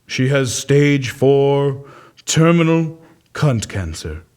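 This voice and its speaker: Guy doing a serious doctor in a comedy sketch, talks real slow n deadpan, pitch moves around a lot. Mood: solemn, grave. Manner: solemn, deliberate, deadpan. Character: grave male doctor delivering absurd bad news. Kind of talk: comedy audio sketch acting.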